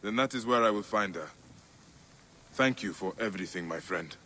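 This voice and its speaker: An actor playing a vengeful, brooding ancient Egyptian warrior in a video game, solemn and intense. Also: male voice, lowish voice, moderate pace, bright, forceful tone. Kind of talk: dramatic game cutscene acting. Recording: roomy echoing scene with noise behind.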